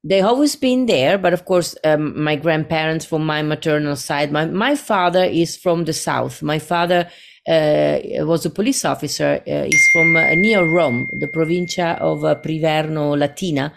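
Low-voiced Italian woman, gravelly, animated. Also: moderate pace. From Italy. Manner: animated, rambling, laughs easily. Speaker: guest, singer-songwriter. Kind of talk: casual interview chat.

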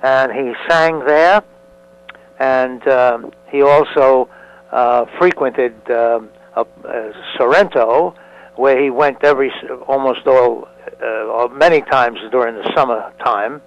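A man in his nineties whose first language is Italian, a museum founder answering interview questions slowly, with many fillers and restarts. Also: mid-range voice. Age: 90s. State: hard of hearing. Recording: dull lo-fi recording.

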